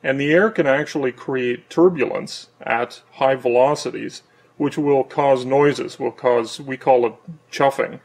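A middle-aged man giving an unscripted technical demonstration as an engineer, in a measured, explanatory way. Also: medium pitch, steady tone. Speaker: loudspeaker engineer presenting his company's product. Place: large warehouse workshop with stocked shelving, indoors.

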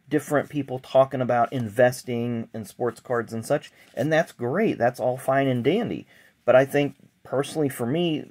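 A man's voice: mid-range voice, a little mellow. A middle-aged man chatting casually as he sorts through a box of cards, rambling with self-deprecating asides, recorded on the camera mic at a table indoors. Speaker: sports card collector vlogger. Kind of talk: casual unboxing chat. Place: indoor card room at a table. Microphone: camera mic, hands in frame.

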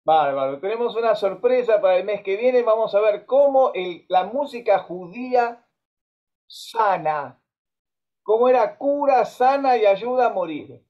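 Animated Argentine man on a video call. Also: high voice, unhurried. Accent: Argentine Spanish. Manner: animated, storytelling, frequent fillers and restarts. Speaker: musician and host. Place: home music room. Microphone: webcam on a video call. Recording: dull lo-fi video-call audio.

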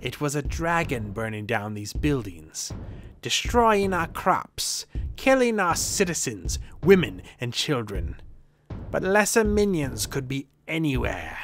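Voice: medium pitch, very animated, measured pace, soft background music. A performer voicing a dour, domineering man of about seventy, a grave town official proclaiming in a fantasy audio drama. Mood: ominous warning. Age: around seventy.

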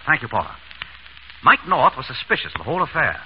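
An actor playing a confident, quick-witted doctor turned amateur detective in a vintage radio comedy-mystery, glib and authoritative. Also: male voice, mid-range voice, huge pitch swings. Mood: brisk explaining. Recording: noisy, muffled vintage radio broadcast.

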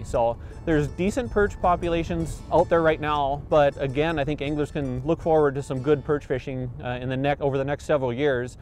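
Earnest fisheries biologist outdoors, higher voice. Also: male voice. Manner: earnest, explanatory, frequent uh fillers and restarts. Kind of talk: outdoor interview answers. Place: outdoors by a river shore.

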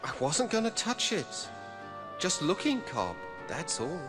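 Lively high-voiced young game hero. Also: male voice, measured pace, over music, full character voice. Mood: defensive. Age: young adult. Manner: earnest, wry, anxious. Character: earnest, wry young male weaver apprentice hero. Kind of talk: video game voice acting.

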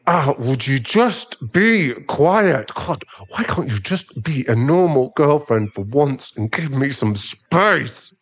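A man playing an irritable, weary sugar daddy boyfriend who wants space, in a halting, sighing ASMR roleplay audio drama. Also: mid-range voice, lively intonation. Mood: angry, exasperated.

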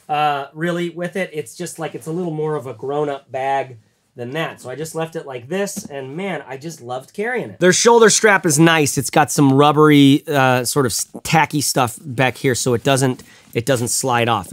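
A man's voice: a little high, fairly bright tone, no echo. A man in his thirties reviewing a bag in a casual, rambling vlog, talking with lots of fillers and the odd swear from a desk in his home studio. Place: home studio room, indoors.

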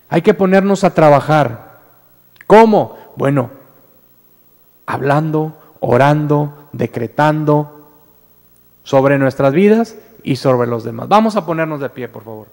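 A Mexican man preaches from a pulpit with a high, lifted voice, telling his story earnestly and often restarting on repeated words before building to emphatic declarations. His voice carries into a church hall with a long, ringing reverb. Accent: Mexican Spanish. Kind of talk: sermon. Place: church sanctuary with stage, indoors. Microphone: standing mic at pulpit.

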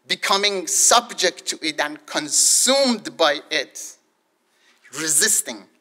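An older man reading a prepared scholarly talk from a podium in a university lecture hall, with slow, deliberate phrasing and measured pauses. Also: fairly high pitch, pushed and bright. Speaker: academic speaker. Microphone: podium microphone.

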